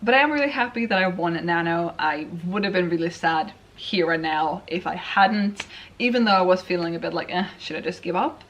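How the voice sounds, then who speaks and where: Low-voiced woman chatting on a vlog. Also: expressive, brisk, a bit bright. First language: Swedish. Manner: chatty, self-deprecating, rambling with restarts. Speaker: writing vlogger. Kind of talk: casual chat to camera.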